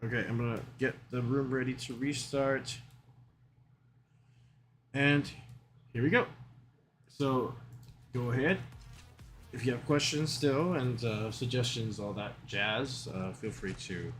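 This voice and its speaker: Casual upbeat male stream co-host, mid-pitched, faint background noise. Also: slow pace, long pauses, a bit bright. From United States. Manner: upbeat, chatty, reads out player names. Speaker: community manager running game rooms. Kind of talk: casual live-stream play-by-play.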